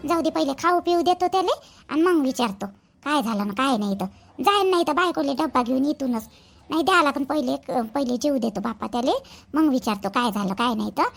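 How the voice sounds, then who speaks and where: A woman's voice: high voice, expressive, a little fast, creaky. A woman voicing a busy, fussing village mother in an amateur cartoon, chatty and repetitive, with a Vidarbha Marathi accent. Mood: worried, wondering. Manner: chatty, repeats herself.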